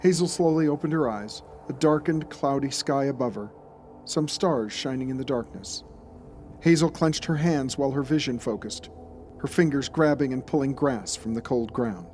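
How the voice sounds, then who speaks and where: A man's voice: medium pitch, moderate pace, a little mellow. Male narrator of a scripted horror story. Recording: faint background ambience noise.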